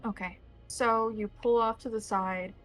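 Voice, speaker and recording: A woman's voice: a little high, steady tone, measured pace, a bit bright, soft background music, low-quality recording. A woman narrating a tabletop roleplaying game as the game master, describing scenes to players.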